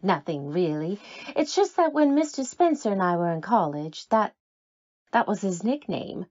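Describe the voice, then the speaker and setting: A woman's voice: mid-range voice, big pitch swings, normal speed, a little mellow, dry recording, muffled recording. A woman acting a nervous, lovelorn scorned woman with a guilty secret in an old-time radio drama, coy and defensive. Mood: coy, fond.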